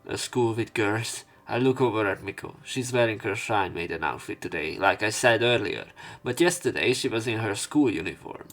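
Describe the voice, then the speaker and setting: Low-voiced man narrating a game casually. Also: expressive, normal speed, few pauses. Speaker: let's play commentator. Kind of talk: reading game text aloud with casual commentary. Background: soft game music.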